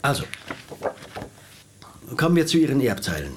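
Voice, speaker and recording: Man voicing a precise Swiss lawyer, measured legal phrasing. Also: medium pitch, big pitch swings, noisy background, close to an everyday voice. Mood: formal. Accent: Swiss German. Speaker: lawyer reading a will. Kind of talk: radio drama acting.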